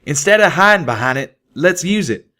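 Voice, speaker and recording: A man voicing a brash, deadpan pegasus stallion with a folksy, nonchalant cowboy drawl for a story. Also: fairly high pitch, moderate pace, voice pushed up. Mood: urgent, eager.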